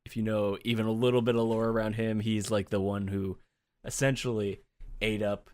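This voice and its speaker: Deep-voiced man laughing through casual chat. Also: moderate pace. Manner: laughs often, relaxed and chatty. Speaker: podcast co-host.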